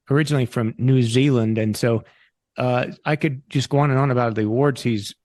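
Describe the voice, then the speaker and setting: Podcast host with a dry sound. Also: male voice, medium pitch, a little mellow, no room sound. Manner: rambling, folksy introduction. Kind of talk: interview introduction.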